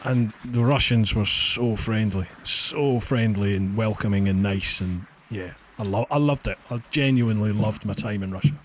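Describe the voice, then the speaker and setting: A man's voice: on the low side, normal speed, hardly any pauses. Man chatting over faint noise. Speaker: podcast host. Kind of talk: casual chat. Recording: faint background noise, online call.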